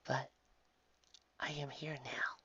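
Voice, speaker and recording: A narrator voicing a gentle, regal female winged unicorn in a storybook, hushed, slow and tender. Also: medium pitch, flat delivery, breathy edge, muffled recording, pitched above the performer's own voice, full character voice. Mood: sorrowful, soothing. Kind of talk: acted storybook character voice.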